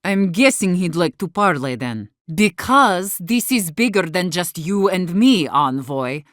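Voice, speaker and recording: A woman's voice: on the low side, sing-song, a little slow, no room sound, clean studio sound. An actor, a woman, playing a blunt, guarded and embittered leader of besieged wardens in a video game. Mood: guarded, weary. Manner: blunt, guarded, sharp-tongued, swearing. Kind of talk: video game character dialogue.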